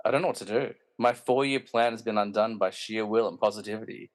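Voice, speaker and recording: A man's voice: on the low side, quick pace, close to an everyday voice. An actor playing a bitter, villainous snobbish alter ego in a radio play, pompous and self-important as he rants. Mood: defeated. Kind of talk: hobby podcast radio play acting.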